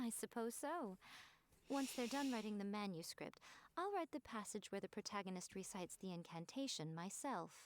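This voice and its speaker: Casting: actress as sly, self-assured noblewoman for a game, mid-pitched, knowing and teasing. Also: expressive, moderate pace, lightly acted. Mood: composed, scheming. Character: poised, sly, confident priestess and publisher lady. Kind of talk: video game voice acting.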